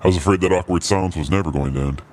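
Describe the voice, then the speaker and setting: A man's voice: deep voice, rapid-fire, darkish tone, soft background music, close to an everyday voice. A man voicing a hungry, sinister holiday cryptid who hosts a podcast special with wry, theatrical, macabre humor. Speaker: host linking podcast segments. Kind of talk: scripted in-character host narration.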